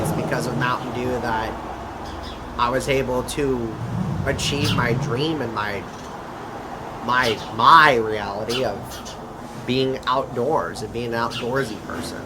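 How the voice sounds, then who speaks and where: A man playing an earnest, sentimental outdoorsy husband in an amateur skit, speaking deliberately in short broken phrases. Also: medium pitch, lightly acted. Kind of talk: amateur skit dialogue, monologue. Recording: clearly noisy, constant background hum.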